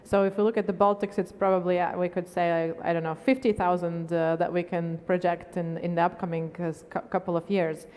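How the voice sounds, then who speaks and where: A woman speaks in a lower-pitched voice. She moderates conversationally from a conference stage, and the hall adds a long reverb. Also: level tone, brisk, few pauses, a little mellow.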